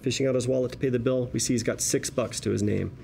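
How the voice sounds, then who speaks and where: A man reads screenplay action lines at a quick clip in a mid-pitched voice. It is scripted narration for a table read, with faint room noise behind it. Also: steady tone, creaky, warm tone. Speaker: narrator reading stage directions.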